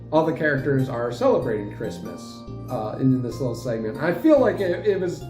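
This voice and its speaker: A man's voice: medium pitch, dark tone, roomy sound. A man giving a casual, unscripted update as a channel host, talking in a hesitant, rambling, self-deprecating way.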